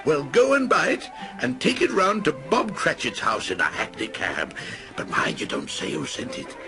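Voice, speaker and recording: A man reading a storybook aloud, voicing an old male miser who turns frantic and overjoyed, high and breathless. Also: wildly swooping pitch, takes it slowly, gravelly, heavily acted. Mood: giddy. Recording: music playing behind.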